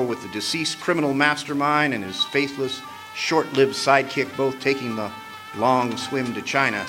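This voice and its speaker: An actor playing a wisecracking, doleful private eye in a noir parody radio drama, with a wry, hammy delivery. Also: male voice, medium pitch, natural voice, poor audio.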